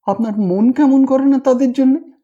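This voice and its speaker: A man's voice: extremely high pitch, moderate pace, mellow, highs cut off, voice pushed up. A man voicing a brash, curious young man in a story, asking blunt, eager questions. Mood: prying, eager.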